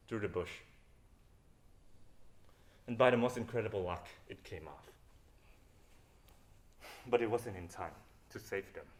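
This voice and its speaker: Roguish adventurer, played by a young man: medium pitch, lively range, confrontational and world-weary, stage delivery. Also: lightly acted. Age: young adult. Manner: dramatic, confrontational. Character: roguish, cynical male adventurer, defensive and bitter. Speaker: student stage actor. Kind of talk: theatrical stage acting.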